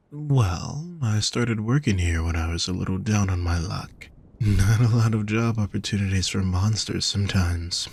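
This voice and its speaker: A man playing a gentle, protective demon lover in an intimate roleplay audio, soft, husky and reassuring, speaking straight to the listener. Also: lowish voice, heavily acted. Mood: wry, relaxed. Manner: soft, reassuring, unhurried coaxing. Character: gentle, teasing, protective male demon lover at a fantasy brothel.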